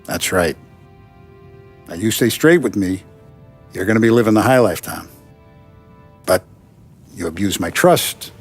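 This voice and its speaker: An actor playing a paternal, authoritative Italian-American mafia boss in a 1930s crime game, speaking deliberately. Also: male voice, lowish voice, slightly rough. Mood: stern, instructive. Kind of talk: cinematic video game acting. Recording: music behind the voice.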